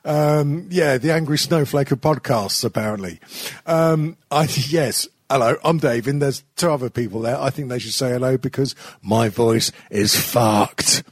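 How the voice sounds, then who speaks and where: A man's voice: medium pitch, moderate pace, breathy edge, no echo, studio quality. A British man hosting a podcast, losing his voice, rambling and swearing with frequent laughter. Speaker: podcast host. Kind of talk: casual profane chat.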